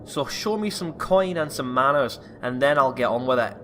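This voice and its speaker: A man's voice: mid-range voice, lively intonation, normal speed, a bit bright, a little hiss or hum, natural voice. A man voicing a brusque, money-minded dwarf doctor with a Scottish accent in a comedic fantasy audio drama. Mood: stern, demanding. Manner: brusque, blunt, crude.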